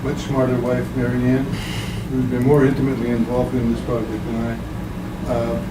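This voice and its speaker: A man with a loud voice presenting his home project to a town commission, plainspoken and halting. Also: mid-range voice, level tone. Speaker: homeowner applicant. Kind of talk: presenting a project at a public meeting. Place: town meeting room. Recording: noisy meeting room audio.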